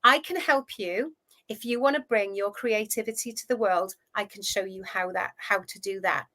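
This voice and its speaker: A Welsh woman in her fifties, a coach telling her career story in a chatty, animated way as an interview guest. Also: medium pitch, moderate pace. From Wales. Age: 50s. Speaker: guest, food relationship coach. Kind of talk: casual interview chat.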